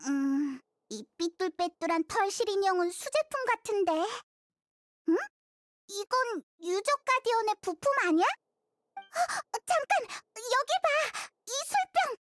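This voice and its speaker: A tiny, cheerful fairy girl from an anime game. The voice is extremely high and childlike, bouncing through lively, inquisitive exclamations in a close, dry recording. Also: slow, dry recording, exaggerated voice. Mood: curious, excited.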